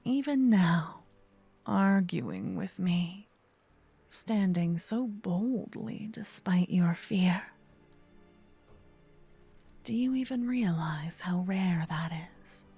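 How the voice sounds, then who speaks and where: A woman voicing a gentle, possessive old female vampire in an ASMR roleplay, murmuring and whispering close to the microphone. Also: lowish voice, lively intonation, soft background music, full character voice. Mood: protective, insistent. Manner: breathy, slow whispering and murmuring. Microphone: close binaural microphone.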